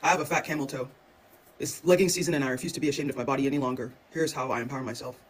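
A woman's voice: a bass voice, fairly even intonation, rapid-fire. A woman in a played video clip, brazenly reciting a rhyme in a sing-song chant. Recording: distant echoey clip with faint noise.

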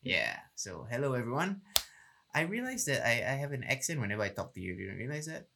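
A man's voice: medium pitch, big pitch swings, quick pace, gravelly, sharp tone. A man with a Malay English accent, co-hosting a podcast in easygoing, self-conscious casual chat with frequent stutters. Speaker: podcast co-host.

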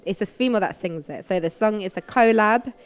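A woman hosting a casual interview show with quiz banter, excitable, playful and slangy. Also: medium pitch, fairly quick, clear, resonant tone. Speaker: radio show host and interviewer.

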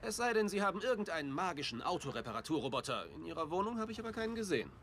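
An actor in a dubbed TV series playing an eccentric, cheerful detective, pushy, whimsical and flippant. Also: male voice, on the high side, sing-song, rapid-fire, fairly bright tone, slight background noise. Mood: flippant, insistent.

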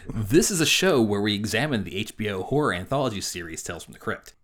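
A man's voice: lowish voice, very animated, fast talker, fairly bright tone. A man in his forties co-hosting a podcast in a casual, chatty way. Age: 40s. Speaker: podcast co-host.

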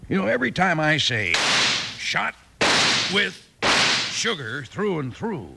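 An actor playing a folksy, comic cowboy with a gravelly, drawling voice in an old radio cereal commercial. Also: male voice, fairly high pitch, big pitch swings, slow pace, heavy background noise, heavily acted. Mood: mock complaint. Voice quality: gravelly, husky.

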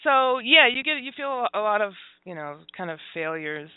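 A woman in her forties hosting a phone-in radio talk show, talking openly about her own life with many pauses, fillers and laughs. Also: medium pitch, big pitch swings, clear, resonant tone, no echo. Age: 40s. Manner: open and confessional, halting with fillers, laughs often. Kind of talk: candid phone-in radio chat. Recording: dry, tinny phone-like sound.

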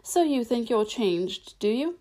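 A woman's voice: on the high side, expressive, mellow. A woman voicing a storybook caterpillar, languid and sleepy, stern and contemptuous, drawing out curt words. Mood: languid, questioning. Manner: slow, drawn-out, curt questions.

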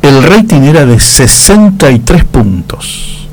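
A man's voice: a little high, very animated, slow, a little mellow. A man with an Argentine accent and a nasal voice, chatting casually on air as a radio host, courteous and often repeating his phrases.

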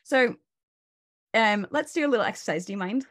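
A woman's voice: on the high side, lively intonation, brisk, a bit bright. A woman burnout coach, a guest on a video call, explaining stress in a casual, instructive way with many "you know"s and "right?"s.

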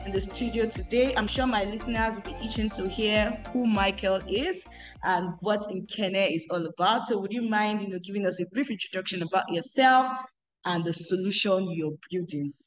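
A woman's voice: mid-range voice, moderate pace, faint music behind. A woman hosting an interview podcast, asking questions hesitantly with many "um"s and repeated words. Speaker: podcast host.

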